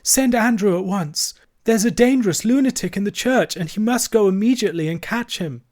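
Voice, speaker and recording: A man's voice: high voice, a little fast, darkish tone, voice pushed up, natural voice. A man voicing an anxious, commanding vicar's wife in a storybook, giving urgent and insistent orders.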